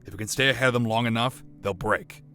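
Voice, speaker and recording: A man playing a gruff, cynical veteran soldier and survivor, terse, urgent and commanding. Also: medium pitch, fairly even intonation, fast, pushed and bright, natural voice. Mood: urgent, hushed. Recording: music behind the voice.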